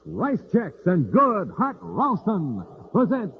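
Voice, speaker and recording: Animated, dramatic vintage radio announcer man. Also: high-pitched, takes it slowly. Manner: dramatic, theatrical emphasis. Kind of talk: 1950s radio serial announcing and sponsor reads. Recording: dull, noisy old radio transcription.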